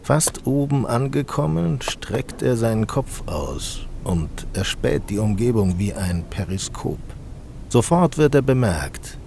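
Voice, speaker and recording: A man's voice: mid-range voice, sing-song, measured pace, creaky, a little mellow, a little hiss or hum. A man narrating a scripted fantasy audio drama in a theatrical, sardonic storytelling style.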